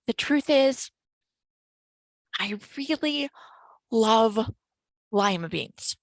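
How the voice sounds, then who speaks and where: A woman's voice: on the high side, expressive, extremely slow, dry recording, heavily acted. A woman reading a storybook aloud, voicing a worried, self-conscious schoolgirl in a plaintive, emphatic way. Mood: desperate confession. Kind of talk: children's storybook read-aloud.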